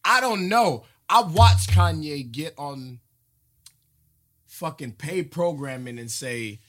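A man in his thirties, a guest rapper, talking in a casual interview with excitable, stumbling restarts. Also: on the high side, slow. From New York, USA. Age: 30s.

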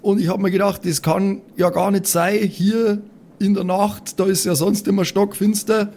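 A man's voice: high-pitched, flat delivery, normal speed, mellow, pitched above the performer's own voice. A man voicing an eager, diligent little schoolboy with an earnest, hesitant manner in an improvised tabletop audio play.

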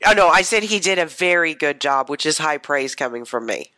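A woman's voice: sits low, animated, fairly quick, sharp tone, dry recording. A woman co-hosting a podcast while she has a head cold, talking in a dry, matter-of-fact way. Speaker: podcast co-host. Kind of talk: casual political chat.

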